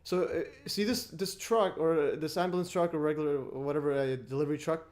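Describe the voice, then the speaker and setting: A man's voice: on the high side, steady tone, fairly quick, a little mellow. Rambling, laughing male livestream host. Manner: rambling, laughs often, many restarts. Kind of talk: casual livestream chat.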